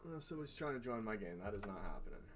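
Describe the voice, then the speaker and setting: A man's voice: medium pitch, lively intonation, very fast, noisy background. A man doing casual, sweary live game commentary as a streamer, reacting aloud. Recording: loud game music behind the voice. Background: game music.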